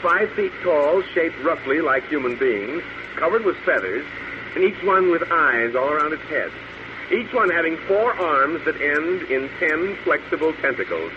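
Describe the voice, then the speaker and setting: A man's voice: a little high, big pitch swings, a little slow. An actor playing a smug, crooked space policeman who taunts with mock friendliness in an old-time radio drama. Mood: amused, gloating. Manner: taunting, mock-friendly, savors his words. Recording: muffled noisy old radio recording.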